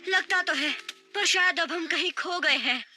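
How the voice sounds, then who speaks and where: A woman's voice: very high-pitched, normal speed, sharp tone, faint music behind, full character voice. A woman voicing a young jungle boy, brave, playful and reassuring in a cartoon. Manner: eager, reassuring, bold.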